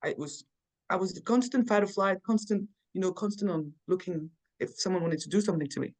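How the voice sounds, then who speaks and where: A woman's voice: fairly low pitch, brisk, darkish tone. A woman from Belgium, a podcast guest, talking earnestly and telling personal stories in casual conversation with frequent restarts.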